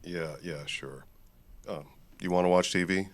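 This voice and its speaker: A man's voice: deep voice, unhurried. A man playing a laid-back, droll friend who teases with mock drama in an audio drama. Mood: casual, friendly. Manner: easygoing, droll, informal. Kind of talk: naturalistic audio drama acting.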